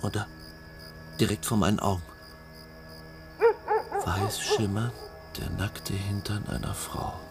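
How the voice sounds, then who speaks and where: Low-voiced man confiding memories. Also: fairly even intonation, takes it slowly, long pauses, loud music behind the voice. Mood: suspenseful. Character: man intimately recalling harsh childhood and night adventure. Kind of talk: intimate radio drama storytelling.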